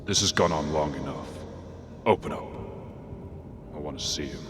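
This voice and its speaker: A man playing a grim, brooding masked vigilante in a radio drama, deliberate and ominous. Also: very deep voice, reverberant, music in the background. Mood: grim, solemn.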